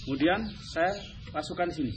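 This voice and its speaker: A man's voice: on the high side, fairly quick. A man with an Indonesian accent hosting a vlog, giving an informal, instructive hands-on explanation to camera. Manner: instructive, often tagging sentences with "ya". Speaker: vlog host demonstrating his radio gear. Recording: slightly muffled, clearly noisy.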